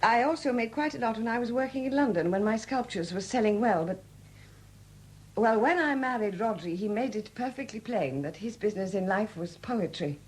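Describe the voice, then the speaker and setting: An actress in a 1970s radio drama playing a weary, flustered sculptress, newly widowed and proud, speaking candidly in hesitant, halting phrases. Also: female voice, on the high side, lively intonation, natural voice. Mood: dry, candid. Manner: hesitant, halting, repeating words. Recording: noisy old 1970s radio recording.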